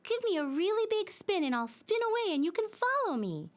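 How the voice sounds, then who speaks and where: A woman's voice: very high voice, sing-song, a little fast, voice pushed up. A woman voicing a small, childlike, playful talking toy dreidel in an eager, exclaiming storybook manner. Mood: eager, excited. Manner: pleading, exclaiming, sing-song.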